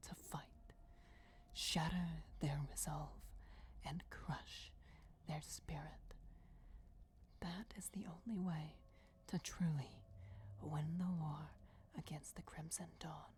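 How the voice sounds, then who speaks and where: A woman voicing an ancient, ruthless vampire goddess in a breathy, solemn whisper, in a fantasy ASMR roleplay. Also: sits low, darkish tone, slight background noise. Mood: cold, solemn. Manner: slow, deliberate, measured with dramatic pauses. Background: crypt ambience.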